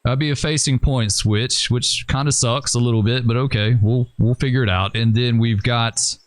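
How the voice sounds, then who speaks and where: A man's voice: medium pitch, fairly even intonation, normal speed, clean tone, dry recording. Man thinking aloud over a train game. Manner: thinking aloud, rambling and hesitant. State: losing his voice. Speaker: gaming channel host. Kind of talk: casual gameplay commentary.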